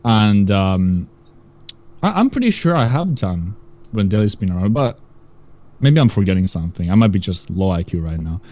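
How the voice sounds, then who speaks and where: Young guy, lowish voice, rambly, keeps hunting for words. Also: big pitch swings, normal speed, warm tone. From Russia. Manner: rambling, searches for words with many fillers. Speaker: guest. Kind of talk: casual stream chat.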